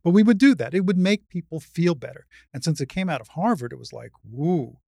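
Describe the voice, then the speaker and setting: A woman's voice: lowish voice, expressive, fairly quick, vocal fry, dark tone, no room sound, studio quality. A woman in her sixties speaking as an interview guest, explaining things step by step in an emphatic, didactic conversational way. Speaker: interview guest, retired surgeon and advocate.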